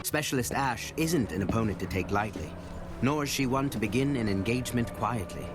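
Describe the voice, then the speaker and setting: An actor playing a male special-forces director in a video game, giving formal, measured, scripted appraisals of his operatives. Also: mid-range voice, lightly acted. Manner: formal, measured, deliberate delivery. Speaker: game announcer introducing operators. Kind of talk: scripted narration. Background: music.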